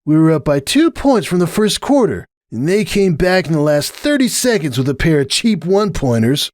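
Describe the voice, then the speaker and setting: A man's voice: on the high side, sing-song, normal speed, a little mellow, no echo, studio quality, voice pushed up. A man voicing a young male pegasus athlete from a cartoon, wry and dramatic, with a strained, grumbling delivery. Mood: resigned. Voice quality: strained, wheezy.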